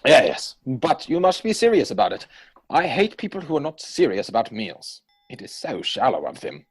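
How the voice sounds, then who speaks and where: A man playing a flippant young Victorian gentleman in a stage comedy reading, with glib, mock-earnest theatrical delivery. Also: mid-range voice, big pitch swings, normal speed, creaky, breathy edge, soft background music, full character voice.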